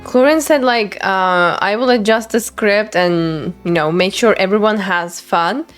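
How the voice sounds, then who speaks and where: Girl streamer gushing over her game, excitable, medium pitch, faint game music behind. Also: unhurried. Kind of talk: live game playthrough reaction commentary.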